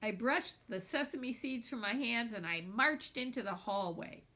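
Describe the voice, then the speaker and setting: High, animated woman reading a children's story. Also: unhurried. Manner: animated, dramatizing dialogue and feelings. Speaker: children's book reader. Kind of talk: expressive read-aloud of a children's novel in a girl's first person.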